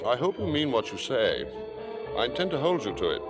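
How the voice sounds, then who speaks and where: A man's voice: mid-range voice, sing-song, a little fast, lightly acted. A man acting an urbane, cynical theater man with an arch manner in a vintage radio drama. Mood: sardonic. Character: suave, cynical, possessive older man of the theater. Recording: old radio broadcast with music behind.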